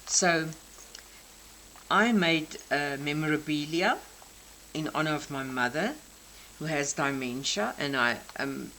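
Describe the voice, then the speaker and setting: A South African woman in her sixties, a native Afrikaans speaker, gently explaining each detail of her craft journal. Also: sits low, takes it slowly, long pauses, slight background noise, low-quality recording. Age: 60s. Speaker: craft channel host. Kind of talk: crafting show-and-tell flip-through.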